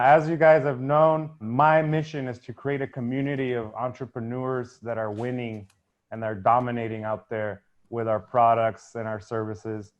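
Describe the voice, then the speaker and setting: A man opens and hosts an interview show in an upbeat way, speaking directly to his viewers. His voice sits at a middle pitch for a man. The recording sounds a little dull and muffled. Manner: upbeat, addresses the audience as guys. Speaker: show host.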